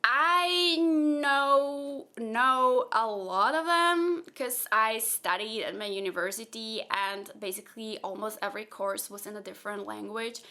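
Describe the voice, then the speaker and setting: A woman's voice: fairly high pitch, a little slow, few pauses, bright, forceful tone. A young woman from Slovakia, whose first language is Slovak, answers viewer questions in a casual vlog, chatty and thinking aloud with plenty of fillers. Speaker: software engineer and content creator.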